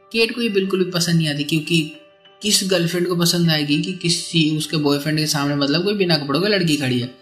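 A man's voice: on the high side, a bit bright. A man narrating a movie plot as a casual voice-over, conversational and colloquial, often rephrasing as he goes. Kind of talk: casual film plot explanation in storytelling voice-over. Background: background music.

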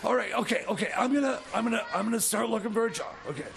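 A man's voice: lively intonation, rapid-fire. A man playing a whiny, flustered, scheming layabout sidekick who stammers and hesitates, in comedy radio theater. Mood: grumbling, flustered. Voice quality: high, creaky voice.

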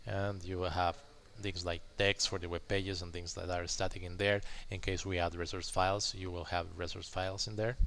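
A man explaining software work informally as he thinks aloud, pausing mid-sentence and often checking with a short tag question. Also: deep voice, level tone. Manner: halting, pauses mid-sentence, checks with "right?". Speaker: software developer, tutorial host. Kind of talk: informal live coding walkthrough, thinking aloud. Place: indoors at a computer, screen recording voice-over. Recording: faint background noise.

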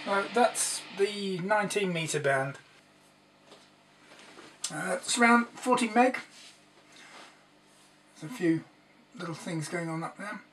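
A man's voice: sits high, slow pace, pauses often. A man presents a casual, hands-on demonstration in an informal and slightly hesitant manner, as if thinking aloud. He sounds some way from the microphone, with faint noise behind his voice. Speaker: presenter demonstrating a homemade valve radio. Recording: distant mic with background hiss and noise.

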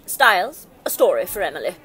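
A woman's voice: on the low side, very animated, brisk, a bit bright, breathy edge, exaggerated voice. An actress playing a snobbish, indulgent wealthy mother, haughty and gushing, in an audio drama. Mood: haughty, doting.

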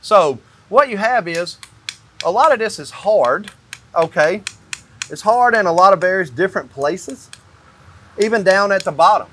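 High-pitched man explaining step by step. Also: expressive, measured pace, long pauses, sharp tone, a little hiss or hum. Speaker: company owner explaining construction. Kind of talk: hands-on explanatory demonstration. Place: indoors in a metal-walled barn or shop.

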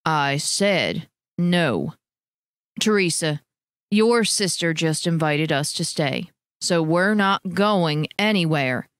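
A woman voicing a calm, firm husband. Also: deep voice, takes it slowly, smooth, no room sound, voice pushed down. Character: firm, steady husband, warm but unbending.

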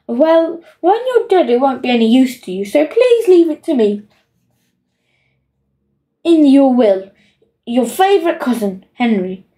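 A woman's voice: sits high, big pitch swings, measured pace, long pauses, dark tone, recorded from a distance. A woman voicing a greedy, bossy young boy in a storybook, gleefully insulting and emphatic. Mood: wheedling, scheming. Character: bossy, greedy, scheming young boy dictating his will.

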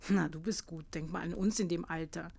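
A woman playing a practical, gently reproachful middle-aged wife and mother in an audio drama, with a wry, chiding delivery. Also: lowish voice, steady tone, a little fast, gravelly, slightly rough.

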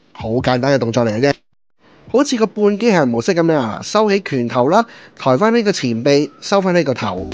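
A man with a Hong Kong Cantonese accent, giving a relaxed toy review voice-over and explaining the steps. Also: a little high, muffled recording.

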